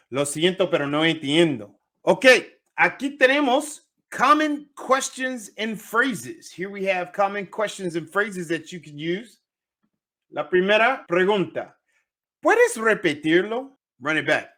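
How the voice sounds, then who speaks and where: A young man leads a language lesson. His voice rises and falls a lot, sits a little above the usual male pitch, and takes its time. He switches back and forth between two languages as he repeats each phrase. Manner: animated, repeats phrases slowly, jumps between Spanish and English. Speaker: Spanish teacher.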